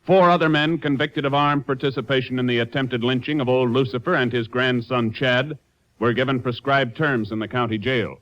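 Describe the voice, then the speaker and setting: A man's voice: medium pitch, fairly even intonation, moderate pace, background noise. An American man announcing in a formal 1950s radio style. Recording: dull vintage radio recording. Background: loud music.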